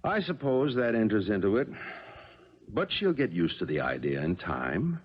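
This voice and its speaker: Gentle coaxing middle-aged husband, deep voice, old muffled radio drama recording. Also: male voice, sing-song, moderate pace, breathy edge. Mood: earnest pleading. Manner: earnest, coaxing. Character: middle-aged widowed father, gentle, hopeful, placating husband. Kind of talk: 1970s radio drama acting.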